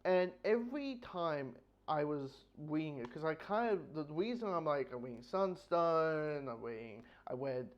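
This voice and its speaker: A somewhat muffled, lo-fi recording of a man with a slightly higher-than-average voice. He reviews in a loose, unscripted way, halting and doubling back on his phrases. His wording is blunt and casually sweary. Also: expressive. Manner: rambling, halting, repeats phrases. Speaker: book reviewer.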